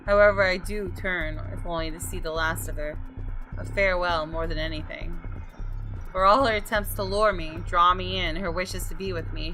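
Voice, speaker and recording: A solemn, haunted survivor narrates a horror visual novel, read aloud by a woman. The delivery is measured and reflective, in a slightly low voice. Music plays clearly underneath. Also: close to an everyday voice. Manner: measured, literary first-person reading. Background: game music.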